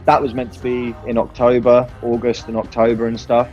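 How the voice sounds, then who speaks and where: A man's voice: mid-range voice, completely flat delivery, brisk, a little mellow, lo-fi sound, soft background music. A man chatting informally and candidly in an interview as a band musician, in a rambling way. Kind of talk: casual interview chat.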